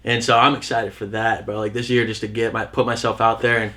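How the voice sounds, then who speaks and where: A man's voice: fairly low pitch, level tone, brisk, sharp tone. Laid-back young man chatting. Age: 20s. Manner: laid-back, easygoing, thinks aloud with fillers. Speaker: musician guest. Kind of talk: casual chat.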